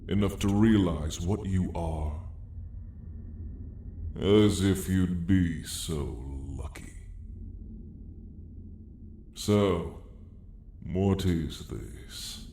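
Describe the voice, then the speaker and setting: A man voicing a haughty, sardonic dragon in a slow, condescending, theatrical drawl for an ASMR roleplay story. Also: low-pitched, dark tone, echoey room, slight background noise, heavily acted. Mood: menacing. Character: haughty, sardonic dragon, apex predator of a mountain.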